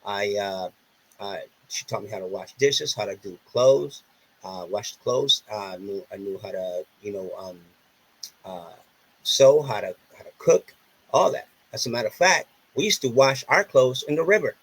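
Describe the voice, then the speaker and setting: A man's voice: on the low side, expressive, moderate pace, slight background noise, poor audio. A man from Puerto Rico whose first language is Spanish, with a Puerto Rican accent, telling stories earnestly in a casual interview and often repeating himself. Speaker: podcast guest.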